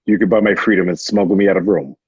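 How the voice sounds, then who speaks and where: A resolute Roman general turned gladiator, acted by a man for a radio drama. His voice sits fairly low and has a husky grain. The recording is dry, with little room sound. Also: fairly even intonation, fast talker, no echo, lo-fi sound. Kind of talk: online table-read radio drama acting.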